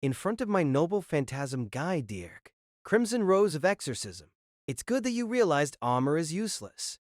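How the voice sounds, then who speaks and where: A man playing a soft-spoken gallant knight. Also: fairly high pitch, big pitch swings, moderate pace, no room sound, clean studio sound. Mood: proud. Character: proud gallant young male spear knight.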